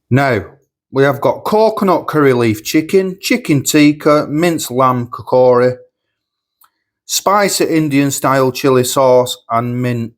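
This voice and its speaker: A man reviewing supermarket food at home, chatty and rambling, sniffly with a cold coming on. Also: mid-range voice. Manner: chatty, rambling, repeats himself. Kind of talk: casual food review vlog. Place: home kitchen.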